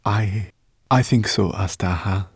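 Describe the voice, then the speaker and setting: A man voicing a gentle, awed woman scholar in a storybook, with a soft, reverent manner. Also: on the low side, takes it slowly, breathy edge, no room sound, studio quality, exaggerated voice. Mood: hesitant. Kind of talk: storybook character voice.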